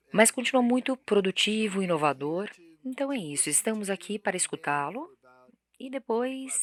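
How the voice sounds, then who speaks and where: A woman's voice: deep voice, fairly bright tone. A woman opening a lecture event as moderator, giving formal thanks and rephrasing herself, with tag questions along the way. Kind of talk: formal welcome speech. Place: auditorium stage.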